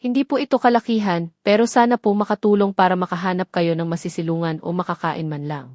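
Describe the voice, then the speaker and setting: A woman's voice: on the low side, fairly even intonation, quick pace, darkish tone, no room sound, clean studio sound, natural voice. A woman voicing a kind, polite and earnest young working woman in an audio drama. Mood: kindly earnest. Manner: respectful, earnest.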